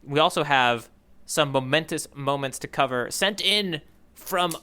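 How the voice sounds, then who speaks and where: A man's voice: on the high side, measured pace, sharp tone, smooth. A man hosting a podcast in casual, rambling, jokey chat, often correcting himself as he goes. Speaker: podcast host. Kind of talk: casual podcast chat, reading listener letters.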